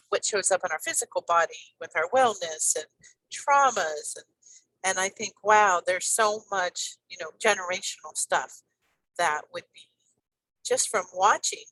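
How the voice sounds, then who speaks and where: A woman in her fifties hosts an interview with bright, forceful energy, gushing over her guest's story. She rambles through long sentences padded with ums and "you know," and her voice comes across dry and close with almost no room sound. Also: fairly low pitch, unhurried, no echo, poor audio. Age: 50s. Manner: enthusiastic, rambling, many fillers. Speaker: podcast host. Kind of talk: conversational interview.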